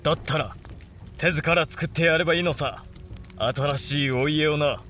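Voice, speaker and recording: An ambitious young samurai lord in a period radio play. His voice sits high for a man and carries defiant, declamatory fervor in archaic warrior phrasing. Music plays clearly behind him. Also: fairly even intonation, moderate pace, sharp tone. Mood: resolute, yearning. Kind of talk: Japanese period audio drama acting.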